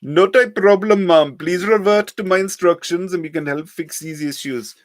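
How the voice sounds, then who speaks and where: A man's voice: high-pitched, moderate pace, no echo, clean studio sound, voice pushed up, natural voice. A man voicing an over-polite, insistent male phone scammer with a heavy put-on foreign accent in a story reading. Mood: earnest, insistent.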